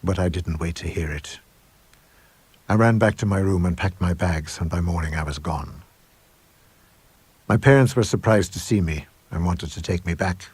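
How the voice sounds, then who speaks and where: A middle-aged man narrating a supernatural tale as a scripted dramatic reading, measured and suspenseful. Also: low-pitched, a little mellow, breathy edge, dry recording. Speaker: audiobook narrator.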